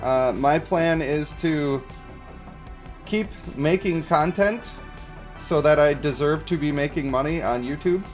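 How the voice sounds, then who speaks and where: Guy streaming a game, slightly high voice, talking casually to his chat, bit of music behind him. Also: slow. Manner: conversational, explanatory, checks for agreement. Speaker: game livestream host. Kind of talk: casual livestream chat, reading and answering viewer comments.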